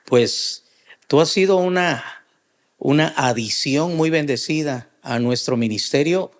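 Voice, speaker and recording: A middle-aged man, a pastor hosting a podcast interview, speaking deliberately in short measured phrases. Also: on the high side.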